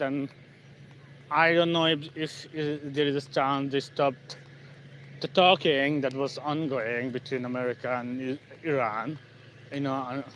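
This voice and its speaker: Bangladeshi guy walking outside talking into his phone, lots of you know and restarts, mid pitch, little bit of street noise. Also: poor audio. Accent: Bangladeshi English. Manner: halting, many fillers and restarts. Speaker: channel host, English learner. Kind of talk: casual live-stream chat. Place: outdoors on a street in the evening, walking.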